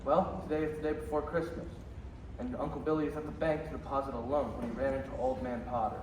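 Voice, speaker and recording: An actor playing a solemn heavenly narrator, telling the story in a measured, grave way in a stage radio play. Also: male voice, mid-range voice, level tone, a little mellow, a little hiss or hum, natural voice. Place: high school theater stage. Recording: echoey distant stage, faint music.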